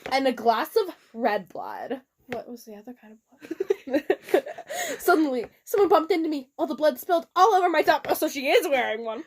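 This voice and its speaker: A woman's voice: high voice, big pitch swings, moderate pace. A young woman giving a casual, giggly, mock-dramatic amateur reading of fan fiction, breaking off for asides.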